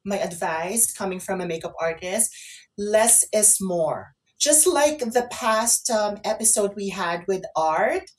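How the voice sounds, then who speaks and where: A woman with a mid-pitched voice co-hosts a casual online talk show. She speaks conversationally, explaining and offering advice to her audience. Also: expressive, measured pace. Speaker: co-host and makeup artist.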